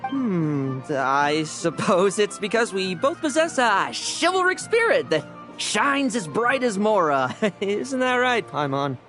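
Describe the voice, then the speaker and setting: An actor playing a sly, cocky young knight in a video game, smug, breezy, theatrical and playfully evasive. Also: male voice, sits high, sing-song, slow, exaggerated voice. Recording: music under the voice.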